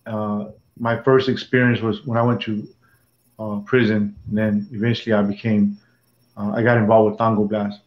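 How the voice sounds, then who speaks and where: A man, telling his life story in an interview with halting restarts. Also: on the low side, flat delivery. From Dallas, Texas. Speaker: interviewee, former prison gang member. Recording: echoey room, dull lo-fi sound.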